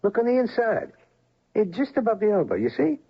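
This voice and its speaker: An actor playing a rough, talkative, scornful morgue worker with blunt, streetwise speech in an old-time radio drama. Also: male voice, high-pitched, big pitch swings, fairly quick, exaggerated voice. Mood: excited. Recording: dull lo-fi old radio recording.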